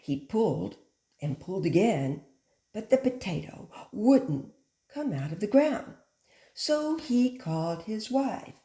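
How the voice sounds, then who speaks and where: A woman reading a picture book aloud like a teacher, with simple, instructive phrasing and expressive stress on key words. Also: medium pitch, takes it slowly. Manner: simple, instructive phrasing aimed at young children. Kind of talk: animated children's picture book read-aloud. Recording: echoey room.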